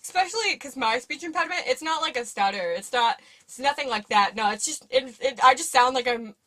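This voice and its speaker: A woman's voice: high-pitched, animated, a little fast, sharp tone. A young woman with a speech impediment that makes her sound like baby talk, chatting casually and rambling through a movie review with lots of fillers. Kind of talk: casual chat.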